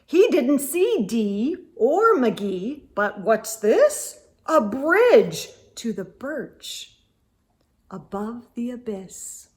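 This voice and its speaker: A woman's voice: fairly high pitch, big pitch swings, extremely slow, darkish tone. A woman reading a children's picture book aloud as a storytime host, with theatrical, suspenseful delivery and little asides. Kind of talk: expressive children's read-aloud narration.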